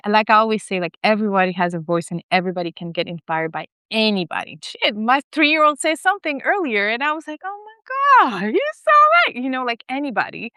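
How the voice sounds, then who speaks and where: Animated woman podcast co-host, crisp dry sound. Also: mid-range voice, no echo. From West Africa, Senegal. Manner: animated, lots of fillers and restarts, laughs often. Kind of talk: casual podcast conversation.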